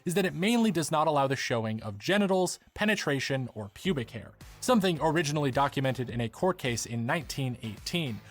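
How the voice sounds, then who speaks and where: A man narrating a scripted explanatory video essay, with wry, humorous asides. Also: on the high side.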